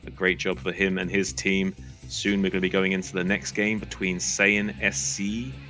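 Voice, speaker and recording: A man calling the play-by-play of a live esports match in a quick, excited way. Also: low voice, faint music behind. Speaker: esports caster. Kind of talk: play-by-play commentary.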